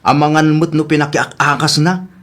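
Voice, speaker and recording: A man's voice: on the high side, steady tone, normal speed, a bit bright, lightly acted. A man playing a teasing, easygoing husband in an Ilocano comedy drama, speaking with an Ilocano Filipino accent. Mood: amused, advising.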